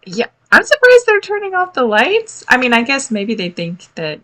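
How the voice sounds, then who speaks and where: Expressive woman reacting, bright lively voice. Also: high-pitched, normal speed, faint music behind. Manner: expressive, exclaiming. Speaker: reaction video host. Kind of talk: casual live reaction commentary on a cartoon. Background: cartoon soundtrack playing.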